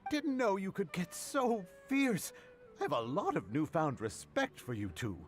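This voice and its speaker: A loyal, easygoing guy for a video game. The voice is slightly high and very animated in pitch, casual and sincere, with music behind. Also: measured pace. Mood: impressed.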